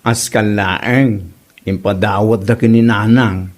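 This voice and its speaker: A man's voice: lowish voice, fairly even intonation, takes it slowly. A man with an Ilocano accent acting a son who pesters his mother in an amateur comedy drama. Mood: nagging, animated. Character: adult son pestering his mother about their barking dog.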